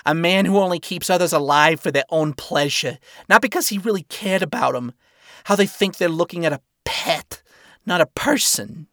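A man's voice: fairly high pitch, normal speed, sharp tone, no room sound, studio quality, voice pushed up. A man voicing a flamboyant, wary male spider demon with a raised, punchy, streetwise delivery. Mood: uneasy.

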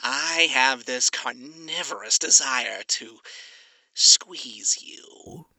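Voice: mid-range voice, very slow, bright, forceful tone, dry recording, heavily acted. A performer voicing a suave, sinister male demon in an audiobook, drawling and teasing with theatrical menace. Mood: soft menace. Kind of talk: acted audiobook dialogue.

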